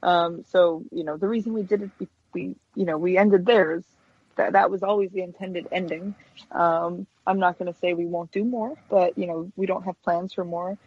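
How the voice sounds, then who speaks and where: A woman's voice: lowish voice, steady tone, normal speed, breathy edge, no echo, dull audio. A woman chatting casually as an interview guest, informal and hesitant, often correcting herself. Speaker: guest, stop-motion animator.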